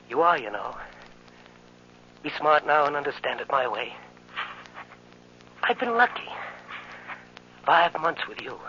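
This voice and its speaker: A fairly high, bright-voiced young condemned prisoner in an old radio play, tender and resigned. He speaks plainly and earnestly on a muffled recording. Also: slight background noise. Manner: earnest, emotional, plain working-man speech. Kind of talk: 1940s radio drama acting.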